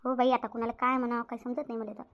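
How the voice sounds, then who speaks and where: A woman's voice: on the high side, little pitch movement, racing, fairly bright tone. A woman voicing a harried, dutiful elder sister-in-law in a Marathi cartoon, resigned and chatty, with a rural Varhadi accent. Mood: resigned worried. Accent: rural Maharashtra, Varhadi Marathi. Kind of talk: domestic cartoon story dialogue.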